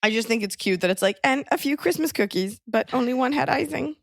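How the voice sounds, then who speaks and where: A woman's voice: a little high, animated, fairly quick, vocal fry, no room sound, studio quality. A woman hosting a podcast in casual comedic chat, with a wry, self-mocking manner. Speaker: podcast host.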